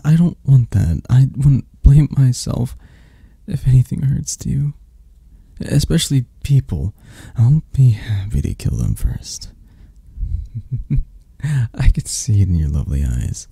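A man voicing an obsessed, flirty, possessive male kidnapper in a hushed, halting ASMR roleplay. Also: medium pitch, no room sound, heavily acted. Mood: possessive, menacing. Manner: hushed, halting, breathy-soft delivery.

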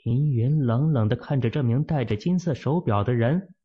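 A man narrating a fantasy novel as an audiobook, in a steady, measured storytelling manner, in a muffled lo-fi recording. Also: medium pitch, breathy edge.

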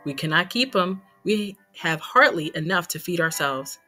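A woman reading as a patient, hopeful storybook father who speaks in gentle proverbs. His voice comes out bright and clear, with faint music underneath. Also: lowish voice, expressive, moderate pace, voice pushed down. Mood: gentle, reassuring.

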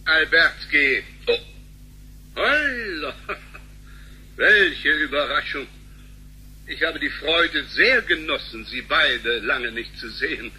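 An actor in a sci-fi radio drama playing a flippant, indignant bank employee with a florid, pompous way of talking. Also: male voice, fairly high pitch, expressive, slow pace, long pauses, sharp tone, slightly rough, full character voice, low-quality recording. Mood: flippant, sarcastic.

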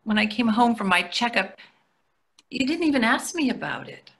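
Woman playing a bright-voiced, dry older wife. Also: a little high, animated, moderate pace. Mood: hurt, firm. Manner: matter-of-fact, dry. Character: dry, exasperated, practical wife in long marriage. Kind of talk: readers theatre play reading. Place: plain room indoors, video call.

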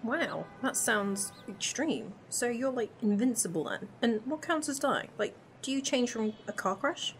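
A woman's voice: a little high, lively intonation, measured pace, few pauses. A woman playing a curious, cheeky young time-travel companion in a fan audio drama, chatty and inquisitive. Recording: amateur recording with clear background noise.

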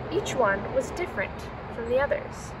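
A woman's voice: fairly high pitch, expressive, moderate pace, far from the mic. Young woman reading aloud outdoors, noisy background. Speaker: reader. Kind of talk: children's picture book read-aloud. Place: outdoors in autumn, seated by shrubs. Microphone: camera several meters away.

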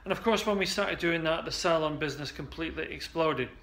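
A man in his forties coaches salon owners straight into a desk webcam. His voice sits high and bright, with a chatty, upbeat flow full of restarts and "uh"s. It sounds like an indoor office recording. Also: brisk. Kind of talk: casual direct-to-camera pitch.

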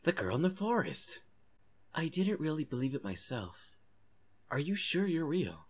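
A woman voicing an eager young boy in an earnest, expressive way for a comic fan dub. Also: mid-range voice, unhurried, slight background noise. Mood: curious, amazed. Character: young boy who befriends a forest girl, eager, defiant.

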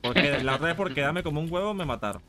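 Rapid-fire Venezuelan man streaming a game. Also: on the high side, pushed and bright. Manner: slangy, foul-mouthed, repeats short phrases. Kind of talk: casual gaming chat with friends. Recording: music behind the voice. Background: music.

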